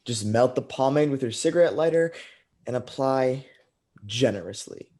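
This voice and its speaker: A man playing a suave, smug pitchman in a parody radio commercial. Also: animated, moderate pace, a little mellow, lightly acted.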